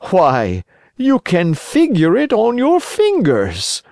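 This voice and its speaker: A storybook reader voicing a sly, scheming fox who coaxes slowly and smoothly. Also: mid-range voice, wildly swooping pitch, darkish tone, no room sound, studio quality, voice pushed up, full character voice. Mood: coaxing. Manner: drawn-out, coaxing.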